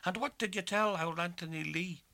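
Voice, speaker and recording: A man voicing a pompous young male lawyer in a spoken story, with a high, affected, prissy delivery. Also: moderate pace, creaky, sharp tone, no room sound, exaggerated voice. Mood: haughty.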